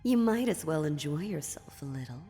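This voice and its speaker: A woman's voice: sits low, lively intonation, warm tone. A woman playing an ancient, dominant demon in a fantasy audio roleplay, mocking and menacing, with a condescending, theatrical delivery. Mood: mocking, teasing. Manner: slow, condescending, sardonic, theatrical. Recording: long reverberant hall, music underneath.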